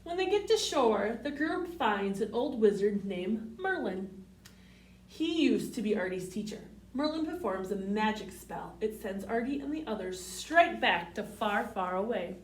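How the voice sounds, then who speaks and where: Female children's storyteller: sing-song, lively pitch, unhurried, recorded in a roomy space. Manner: sing-song, addressing children with simple questions. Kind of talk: storybook read-aloud for young children. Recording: roomy, distant microphone.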